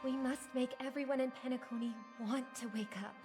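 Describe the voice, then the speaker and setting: A woman playing an earnest, solemn young woman in a video game, speaking in a measured way. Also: high voice, fairly even intonation, music in the background, lightly acted. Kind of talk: video game character acting.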